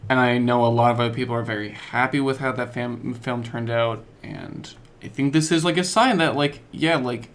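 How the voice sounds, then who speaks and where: A young man co-hosting a podcast, chatting casually and excitably in halting, stop-and-start thoughts. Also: medium pitch. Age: young adult. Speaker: podcast co-host. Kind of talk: casual chat about films and games.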